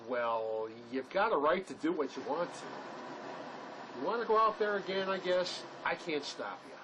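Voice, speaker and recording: An actor playing an earnest, soft-hearted man on the run, plain-spoken and caring, in dramatic dialogue. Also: sing-song, normal speed. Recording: noisy recording with rumbling ambience.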